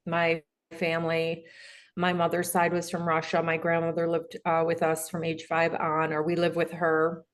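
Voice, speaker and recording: A woman's voice: low voice, monotone, normal speed. A middle-aged woman, a podcast guest and coach, telling her life story in a candid, rambling way with many fillers and restarts. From Detroit, Michigan, USA.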